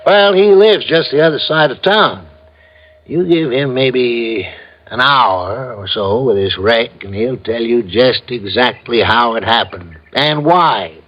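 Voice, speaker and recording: An American actor playing a talkative small-town police sergeant in a classic radio crime drama, telling things in a colloquial, emphatic, rambling way. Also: male voice, medium pitch, slow pace. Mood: admiring, emphatic. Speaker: supporting character actor. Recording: muffled old radio recording.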